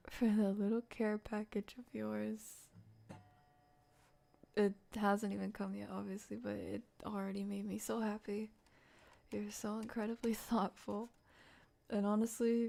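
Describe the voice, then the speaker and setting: Soft, slow-paced woman streaming ASMR. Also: medium pitch, vocal fry. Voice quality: soft, often dropping into a whisper. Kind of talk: ASMR livestream, casual chat with viewers.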